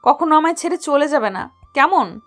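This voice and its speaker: Woman playing a high-voiced, coy young bride. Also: animated, moderate pace, a bit bright, faint music behind. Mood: tender, confiding. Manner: coy, reserved, mildly scolding. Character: shy, stern young woman doctor, new bride in love. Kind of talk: romantic audio story dialogue.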